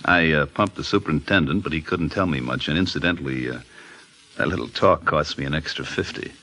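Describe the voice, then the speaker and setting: An actor playing a shifty, hard-up gambling private detective in a 1950s radio drama, brusque and slangy. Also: male voice, extremely low pitch, sharp tone, full character voice. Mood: businesslike, shifty. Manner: slangy, brusque, fast-talking hustler.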